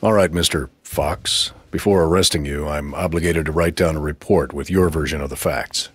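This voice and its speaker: Low-voiced stern military interrogator. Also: male voice, moderate pace, husky, no echo. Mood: stern, dry. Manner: formal, dry. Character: stern, skeptical military officer interrogating a prisoner. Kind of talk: video game dialogue.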